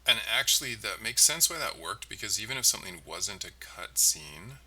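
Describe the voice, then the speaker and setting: A man giving casual commentary as he codes on a livestream, thinking aloud in halting phrases. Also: lowish voice. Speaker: game developer, livestream host. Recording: clearly noisy, distant mic, keyboard clicking. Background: typing, mouse clicks, steady noise.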